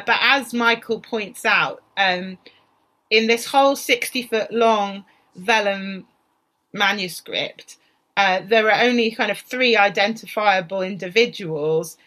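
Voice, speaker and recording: A woman speaks in a very bright, forward voice at a middle pitch. She talks as a historian in conversation, working out her points aloud and repeating phrases as she goes. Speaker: historian on a discussion panel. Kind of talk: conversational expert discussion.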